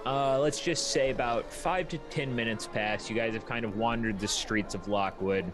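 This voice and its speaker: A man's voice: mid-range voice, normal speed, music in the background. A man narrating a tabletop roleplaying game as its game master, describing scenes and asking for checks.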